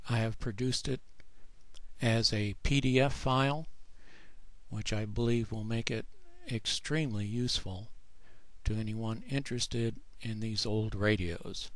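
A man narrating a screen tutorial as the author, explaining plainly in a measured way. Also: lowish voice, no echo. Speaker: author presenting his own book.

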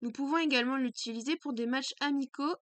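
A woman's voice: sits high, quick pace, a bit bright, no room sound. A woman voicing a prim, strict schoolgirl student with formal, precise diction.

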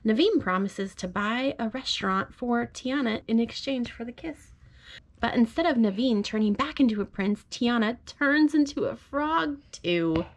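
A woman speaking gently, as a parent does to a small child at bedtime. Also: on the high side, lively intonation, a little slow. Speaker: parent reading aloud. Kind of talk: bedtime storybook read-aloud. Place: bedroom at home.

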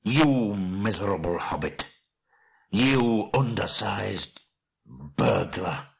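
A man's voice: deep voice, a little slow, a bit bright, a little hiss or hum. A man voicing a proud, wrathful dwarf king in an audiobook story, with an imperious, bitter way of speaking. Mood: furious.

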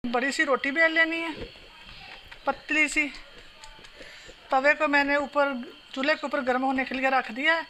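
A woman calmly explaining a home recipe step by step while she cooks. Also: high-pitched, measured pace, pauses often. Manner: calm, instructional, talks while working. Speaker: home cooking channel host. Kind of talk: step-by-step cooking instructions. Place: home kitchen. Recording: noisy home phone recording.

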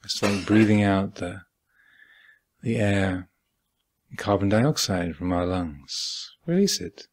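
A man with a husky voice guiding a meditation as a teacher, speaking calmly and deliberately with long pauses. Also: on the low side. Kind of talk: guided meditation instruction. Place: meditation hall at a retreat.

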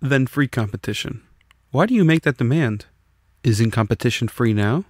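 A man's voice: medium pitch, animated, moderate pace, no room sound, clean studio sound, close to an everyday voice. A man voicing a sceptical, combative communist who puts probing questions in a scripted audiobook dialogue. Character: sceptical, challenging communist debater.

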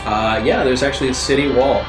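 A man runs a tabletop roleplaying game as game master, improvising the scene in halting phrases. His voice has a roomy ring, with guitar music playing behind it. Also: mid-range voice, fairly even intonation.

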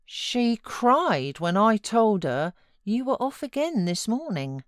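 A woman's voice: fairly high pitch, very animated, no echo, studio quality, voice pushed up. A British woman voicing a motherly housekeeper who coaxes and scolds frankly in a storybook reading. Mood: chiding. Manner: brisk advice, coaxing and chiding.

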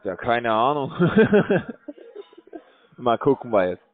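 A man calling from a call center, rambling in a bored, crude, halting way. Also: medium pitch, big pitch swings. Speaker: call center scam caller. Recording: dry tinny phone line.

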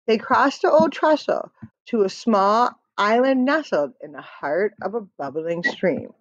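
A woman's voice: mid-range voice, expressive, unhurried, no echo. A middle-aged woman reading a children's picture book aloud in a rhythmic, emphatic storytelling manner. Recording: dull lo-fi webcam audio.

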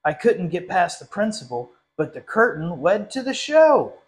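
A young man with a fairly high-pitched voice reads a picture book aloud. He addresses young listeners in a friendly, animated storytime style. He sits in a small classroom, a little way from the camera. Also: moderate pace. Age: young adult. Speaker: literacy council director reading a story.

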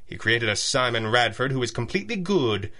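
An actor playing a shrewd, commanding masked hero, measured and authoritative, in a 1930s-style radio drama. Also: male voice, mid-range voice, very animated, sharp tone. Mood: shrewd, urgent. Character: masked male crime-fighting hero, grave, shrewd, commanding.

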